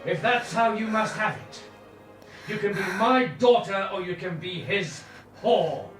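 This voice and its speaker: A man's voice: high-pitched, a little slow. An actor playing a furious, domineering father in a radio drama, thundering with contempt. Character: furious, domineering father disowning his daughter. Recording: echoey, distant, music behind.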